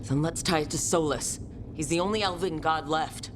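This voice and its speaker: Game heroine played by a woman: low register, determined resistance leader, plain-spoken, noticeable ambient noise. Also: moderate pace, natural voice. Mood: resolute. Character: determined woman hero leading a fantasy resistance. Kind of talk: dramatic game cutscene acting. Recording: clear ambient noise behind the voice.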